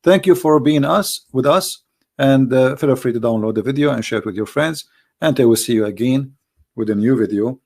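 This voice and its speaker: A man's voice: medium pitch, moderate pace, gravelly. A man hosting a religious video, delivering an argumentative monologue in non-native English phrasing. He speaks emphatically, repeating points and asking rhetorical questions.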